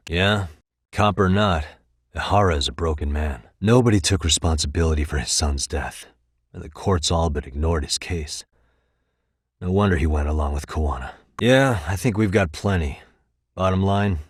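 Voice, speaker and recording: A determined American private detective in a dramatic video game. His voice is deep with a husky edge, recorded close and dry. He speaks in a measured, probing way, sharp and dryly pointed. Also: no room sound. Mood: analytical, firm.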